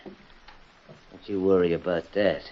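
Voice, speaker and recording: A menacing, low-voiced western outlaw. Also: male voice, fairly even intonation, takes it slowly. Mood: cold threat. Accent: American. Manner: gruff, threatening, folksy frontier slang. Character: menacing, vengeful male Old West outlaw. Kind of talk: old-time radio western acting. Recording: dull, lo-fi vintage radio recording.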